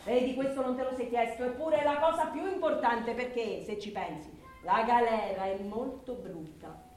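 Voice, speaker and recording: High-pitched woman as sardonic, worldly camp woman. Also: moderate pace, a little mellow, slight background noise, natural voice. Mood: mocking, challenging. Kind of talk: theatrical radio drama acting. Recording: roomy, distant microphone.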